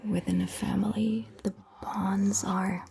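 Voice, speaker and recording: A woman voicing a calm, attentive vampire doctor in a gentle, breathy, mostly whispered ASMR roleplay, speaking in a measured way. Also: mid-range voice, exaggerated voice. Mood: calm, musing. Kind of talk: soft-spoken ASMR roleplay.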